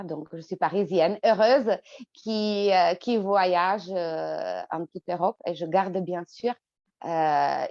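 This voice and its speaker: A woman's voice: on the low side, a little mellow, no room sound, lo-fi sound. A woman from the Czech Republic with a Czech accent, answering interview questions in a hesitant way, full of fillers and repeated words. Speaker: guest.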